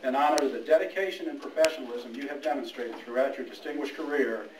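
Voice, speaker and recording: A middle-aged man gives an informal speech to honor a colleague, with a halting, storytelling delivery. His medium-pitched voice is picked up from a distance in an echoey room with a little background noise. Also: a crowd in the background. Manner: halting, anecdotal, with quoted dialogue. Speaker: police major giving a retirement speech. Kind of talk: informal speech with humorous anecdotes. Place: indoor room at a retirement party.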